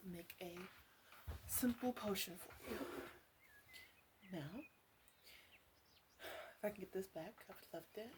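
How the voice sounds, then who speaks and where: A woman playing a caring, teasing witch in a soft ASMR roleplay voice that slips into whispers. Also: medium pitch, background noise, lightly acted. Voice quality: soft, breathy, slipping into whisper. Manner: gentle, unhurried, playfully teasing. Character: female witch, flirty, caring, teasing potion seller.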